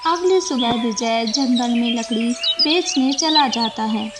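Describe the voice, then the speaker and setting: A woman's voice: on the high side, slow, loud music behind the voice. A woman narrating a scripted moral story for children.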